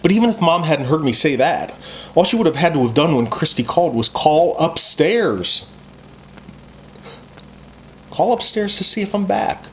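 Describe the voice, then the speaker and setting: A man, an author reading his own first-person coming-of-age fiction aloud in a conversational, expressive way. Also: fairly high pitch, moderate pace, long pauses. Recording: muffled lo-fi with background noise.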